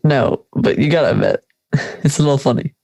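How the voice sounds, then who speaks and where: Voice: mid-range voice, huge pitch swings, brisk, creaky, dark tone, no echo, exaggerated voice. A teenage performer playing a carefree, teasing school friend in conversational audio drama dialogue. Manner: laid-back, teasing.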